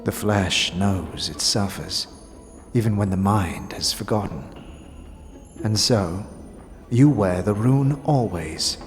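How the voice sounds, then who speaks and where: Man as a slow, reverberant otherworldly oracle. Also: lowish voice. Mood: grave. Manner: solemn, measured, oracular. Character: cryptic, solemn otherworldly being speaking in riddles. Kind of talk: fantasy audio drama acting. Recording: long reverb over faint music.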